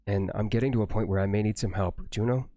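A man voicing an earnest, awkward, obsessive young male anime character who explains things haltingly. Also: on the low side, warm tone, dry recording, faint music behind, natural voice. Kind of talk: improvised anime character skit.

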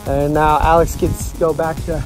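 A man's voice: fairly high pitch, level tone, moderate pace, poor audio. A man in his forties filming himself on a phone outdoors, chatting easily about his trip and laughing now and then. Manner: easygoing, laughs while narrating. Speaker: vlogger. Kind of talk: casual travel vlog narration. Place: outdoors on a lake and in woods. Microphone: phone held at arm's length. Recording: music playing underneath. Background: music.

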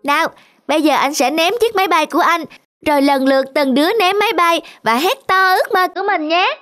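A woman's voice: very high-pitched, a little fast, a bit bright. A woman voicing a friendly, eager older boy who encourages younger children in a cartoon. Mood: encouraging. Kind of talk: cartoon acting.

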